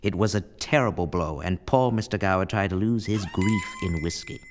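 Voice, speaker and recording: A senior angel played by a man in a radio play, with a fairly low voice. He is calm and in charge, measured and kindly as he gives instructions and tells a life story. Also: a little hiss or hum, close to an everyday voice. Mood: storytelling.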